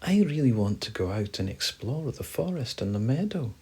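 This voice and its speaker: A man voicing a small, friendly storybook mouse who is eager but easily frightened, with an excitable manner that turns breathy with worry. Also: medium pitch, moderate pace, pitched above the performer's own voice, exaggerated voice.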